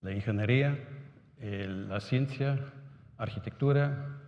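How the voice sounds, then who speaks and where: A low-voiced man gives a symposium talk in a language he learned later, with German and Italian as his first tongues. He stumbles, restarts and keeps adding little tag questions. The sound is roomy, with a long hall reverb. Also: highs cut off. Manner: halting, many restarts and "¿no?" tags. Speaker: conference speaker. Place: conference hall.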